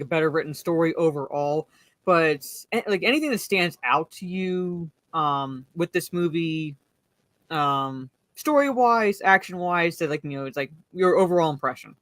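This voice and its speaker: A woman with a slightly deep voice hosting a casual movie chat, rambling with lots of fillers and restarts. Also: no echo. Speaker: podcast host.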